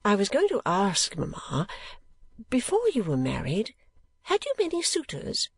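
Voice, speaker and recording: A woman narrator acts out a dutiful young daughter in a storybook. She is gentle, polite and soft-spoken, with a faintly breathy husk. The recording is close and dry. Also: medium pitch, wildly swooping pitch, measured pace, no room sound, voice pushed up, full character voice. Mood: innocent, curious.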